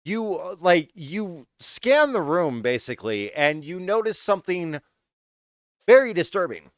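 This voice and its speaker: A man's voice: sits high, very animated, dry recording, studio quality. A man narrating a tabletop game as game master, hesitant and full of fillers. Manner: halting, unhurried narration with many fillers.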